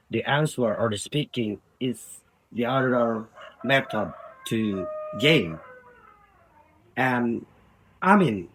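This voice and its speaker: Male non-native speaker with an Indonesian accent. Medium pitch, a little husky. Informal study-tips monologue, halting, circles back over words. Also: low-quality recording. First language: Indonesian, Sundanese and Javanese. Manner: halting, repeats words and phrases. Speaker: language learner giving study tips. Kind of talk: informal monologue giving advice.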